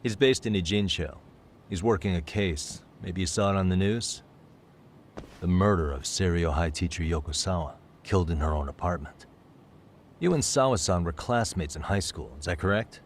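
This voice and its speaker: An actor playing a composed, persistent private detective, calmly and politely probing in a video game cutscene. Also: male voice, low voice, slight background noise, lightly acted. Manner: calm, measured, politely probing questioning. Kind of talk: English-dubbed video game cutscene acting.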